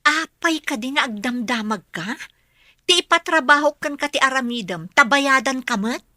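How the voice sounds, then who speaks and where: A woman's voice: high-pitched, expressive, moderate pace, bright, forceful tone, no echo, clean studio sound. A woman with an Ilocano Filipino accent playing a bossy, greedy schemer in a melodramatic drama. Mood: bossy, impatient. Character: scheming greedy woman plotting to seize an inheritance.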